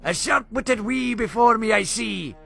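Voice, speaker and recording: A man's voice: high voice, unhurried, creaky, bright, forceful tone, full character voice. A man voicing a crazy, scheming old cartoon wizard who speaks theatrically in gloating rhyme. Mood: gloating, ominous. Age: older. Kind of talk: animated cartoon voice acting. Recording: music behind the voice.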